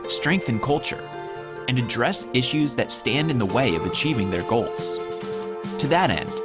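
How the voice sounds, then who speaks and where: A man doing polished, scripted narration for an explainer video, played back during an online talk. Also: mid-range voice. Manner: polished, measured delivery. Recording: played over a video call with loud music.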